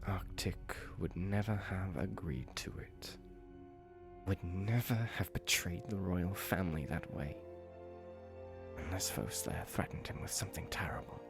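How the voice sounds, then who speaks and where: A man's voice: deep voice, measured pace, pauses often, over music. A man playing a proud, bitter young ice dragon in an audio drama, lecturing pompously. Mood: grim, bitter. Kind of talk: fan audio drama acting.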